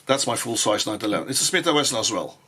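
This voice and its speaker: A man whose first language is Norwegian, giving a casual firearm review in English with frequent pauses and restarts. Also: medium pitch, expressive, noisy background. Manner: halting, many fillers and restarts. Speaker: gun reviewer.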